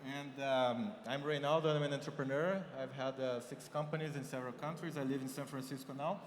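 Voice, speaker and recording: A man's voice: medium pitch, fairly even intonation, brisk, slight background noise. A man from Brazil with a Brazilian Portuguese accent, giving a conference keynote and explaining figures step by step with many fillers. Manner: explanatory, walks through numbers with frequent fillers. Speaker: keynote speaker. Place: large conference hall. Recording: roomy hall reverb with faint ambience.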